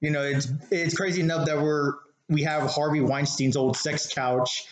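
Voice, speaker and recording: A man chatting casually and telling stories in a halting, rambling way full of filler "like"s, as co-host of an informal talk. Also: on the high side, level tone. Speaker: show host, rideshare driver telling stories. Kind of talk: casual chat, storytelling. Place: living room, indoors. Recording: roomy, echoey living room.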